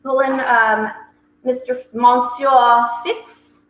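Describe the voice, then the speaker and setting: A woman's voice: on the high side, flat delivery, slow pace. A woman hosting a virtual wine tasting, chatty and full of ums. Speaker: wine shop host. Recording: roomy, dull sound with faint hiss.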